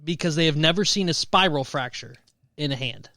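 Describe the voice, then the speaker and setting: A man's voice: fairly high pitch, brisk, bright, forceful tone, dry recording, clean studio sound. A man co-hosting a casual podcast, defensive and profane, protesting a friend's teasing. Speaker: podcast co-host. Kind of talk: casual banter. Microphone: studio mic with headphones.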